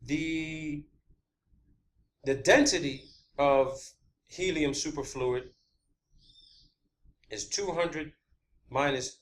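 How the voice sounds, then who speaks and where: Man with higher-pitched voice, halting and emphatic. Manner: blunt, swearing. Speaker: video host. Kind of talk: informal theorizing monologue.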